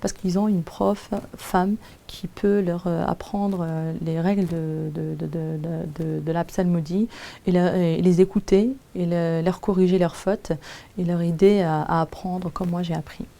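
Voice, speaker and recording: A Quran teacher who is a native Arabic speaker gives a halting personal testimony, often pausing to find her words. Her voice is low and gravelly, mellow in tone, with a flat, even delivery. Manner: hesitant, searching for words with many pauses.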